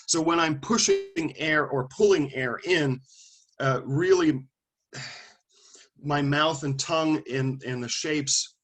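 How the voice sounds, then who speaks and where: A man teaching a class in a loose, conversational way, asking questions and calling on students. His voice sits at an ordinary middle pitch, and he thinks aloud with restarts and small asides. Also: a bit bright. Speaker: lecturer.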